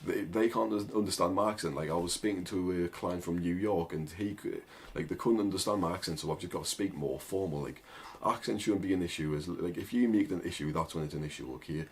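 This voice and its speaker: A man's voice: deep voice, fast talker, hardly any pauses, a little hiss or hum. A young man with a thick northern English accent, giving rambling casual advice with lots of "like" and "right?". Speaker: agency owner vlogger. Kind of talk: casual advice talk to camera.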